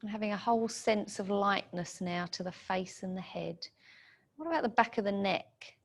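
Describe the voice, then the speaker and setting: A woman guides a meditation in a mid-pitched voice. She gives soothing, gentle instructions in short, unhurried phrases, leaving room for the listener to follow. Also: creaky.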